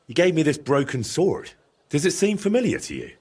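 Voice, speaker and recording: An actor playing an earnest young medieval adventurer in a video game, direct and questioning, with a slightly husky voice. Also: male voice, on the high side, big pitch swings, brisk, a little mellow. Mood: recounting, uneasy. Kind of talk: video game dramatic dialogue.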